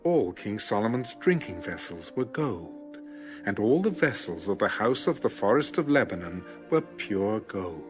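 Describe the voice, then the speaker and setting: A man with a low voice narrates scripture in a dramatic, scripted style. His intonation is highly expressive, and music plays behind him. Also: unhurried. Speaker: audio Bible narrator. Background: background music.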